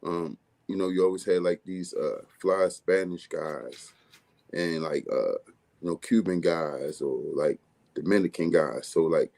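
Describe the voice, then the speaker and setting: Deep, mumbly man. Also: unhurried, darkish tone. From Baltimore, USA. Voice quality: deep bass-heavy voice. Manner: mumbly, laid-back streetwise delivery. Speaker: music artist guest. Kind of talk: casual interview talk.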